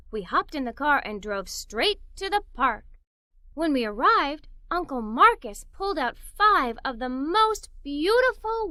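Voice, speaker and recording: A woman tells a children's story in the first person as a young girl. Her voice is high and bright, with an expressive storyteller's delivery. The recording is close and dry. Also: no room sound. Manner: animated, theatrical, giggly storytelling delivery. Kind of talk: expressive scripted read-aloud for young children, told as a young girl.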